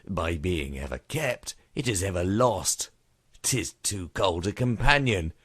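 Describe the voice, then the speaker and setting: A man acts out a witty, cynical rogue from a Shakespearean comedy. The voice is deep and husky, with wide melodic swings. He argues in a wry, mocking, theatrical way. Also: animated, a little slow, a bit bright, dry recording, studio quality, heavily acted. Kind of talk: theatrical Shakespearean comic monologue.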